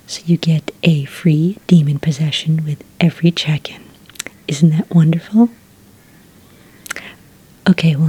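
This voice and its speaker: Whispering woman, low and very breathy. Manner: gentle, polite, customer-service phrasing. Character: friendly, helpful female witch hotel desk clerk. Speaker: ASMR roleplay performer. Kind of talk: soft-spoken whispered ASMR roleplay.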